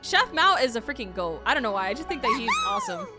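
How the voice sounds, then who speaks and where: A woman streamer gives casual, slangy reaction commentary. Her voice is fairly high and very animated, gushing freely. Game music is clearly audible behind her. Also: quick pace.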